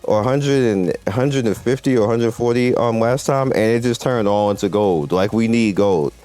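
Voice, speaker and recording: A man with a fairly low voice gives casual gameplay commentary. He speaks emphatically, circling back to hammer his points home, with faint music underneath. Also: normal speed, dark tone. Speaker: host of a mobile game video channel. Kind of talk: casual gameplay commentary, talking to viewers and developers.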